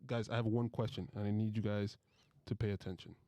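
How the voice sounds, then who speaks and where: A man's voice: lowish voice, fairly even intonation, a little fast, mellow, no echo. A man hosting a casual sports podcast with friends, talking in a playful, bantering way. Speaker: podcast host.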